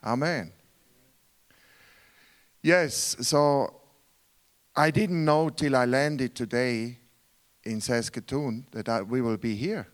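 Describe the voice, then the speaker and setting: A man's voice: medium pitch, takes it slowly, long pauses. A man with a Swiss German accent, a guest preacher, earnest and rhetorical, often asking the congregation questions. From Switzerland. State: tired from travel. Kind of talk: sermon.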